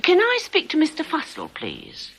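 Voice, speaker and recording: A woman's voice: high-pitched, wildly swooping pitch, normal speed, vocal fry, a bit bright, heavily acted. An actress in a radio drama playing an eager, slightly nervous woman accomplice, chatty, polite and hesitant. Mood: bluffing, businesslike.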